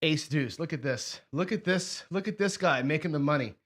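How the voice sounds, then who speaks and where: A man hosting a craps strategy show, hoarse and sniffly, chatting casually as he thinks aloud and counts out chips and bets. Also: fairly high pitch, normal speed, very noisy. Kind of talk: casual play-along commentary.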